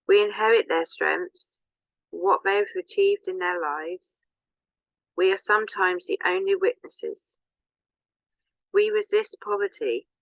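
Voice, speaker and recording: A woman giving a solemn, measured dramatic reading in a deliberate manner. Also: lowish voice, fairly bright tone, no room sound, low-quality recording.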